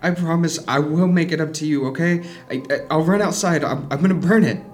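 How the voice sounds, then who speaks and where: A man's voice: medium pitch, moderate pace, lightly acted. A man acting as a troubled narrator possessed by a cursed mask in a dramatic, confessional horror audio drama. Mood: frantic, pleading. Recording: echoey hall reverb, music underneath. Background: music.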